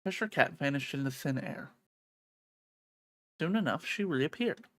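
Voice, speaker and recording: Game streamer, animated mid-pitched voice, dramatic narration and sweary asides, very dry sound. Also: measured pace, no room sound. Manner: expressive, excitable, sweary. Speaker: streamer playing and reading a visual novel aloud. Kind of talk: dramatic read-aloud with casual profane reactions.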